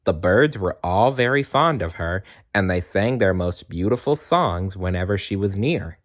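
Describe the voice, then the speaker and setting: A man's voice: deep voice, animated, normal speed. A man narrating a children's story read aloud. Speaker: storybook reader.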